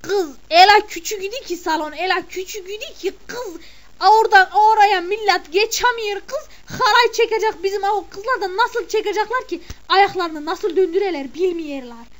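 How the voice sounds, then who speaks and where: Woman doing a middle-aged village mother, very high voice, chatty, exclamatory, scolding. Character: gossiping village mother of the bride, indignant. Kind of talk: comic character monologue.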